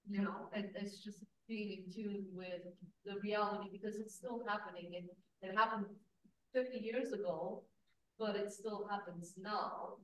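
A woman's voice: medium pitch, fairly even intonation, unhurried, a bit bright. A woman from Romania, speaking earnestly in a conference discussion. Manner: earnest, thinking aloud with fillers. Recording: echoey room, distant mic, faint hiss.

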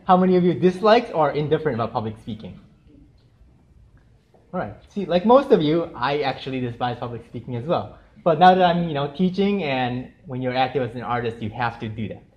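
A young man from Japan, a Japanese speaker at heart, talking to a class in a relaxed, friendly way with plenty of "um" and "you know". His voice is of middling pitch and comes from a camera across the lecture room, so it sounds a little dull. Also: brisk. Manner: friendly, chatty, many fillers. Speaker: artist giving a gallery lecture. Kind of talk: casual presentation to students. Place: university lecture room with projector screen.

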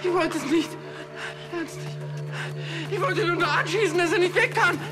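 An actor playing a desperate, guilty man who shouts and pleads in a television crime comedy. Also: extremely high pitch, normal speed. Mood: desperate, pleading. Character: frantic, guilty man caught at night, pleading. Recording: loud music behind the voice.

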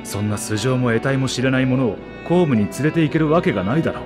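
A man's voice: mid-range voice, expressive, quick pace, music in the background, lightly acted. A man voicing a grumpy, sardonic robed sorcerer in a video game, curt and disdainful. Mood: indignant. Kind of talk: Japanese video game voice acting.

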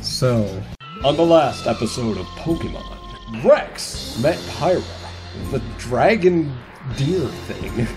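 A man banters casually in a medium-pitched voice with lively intonation. Music plays clearly behind him. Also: slow pace. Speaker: comic dub performer.

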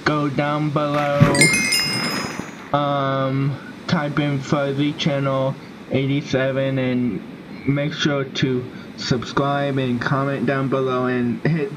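A man giving casual gameplay commentary in a halting way, with sentences that trail off. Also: medium pitch. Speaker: gaming video host. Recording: very noisy home game recording with clicks.

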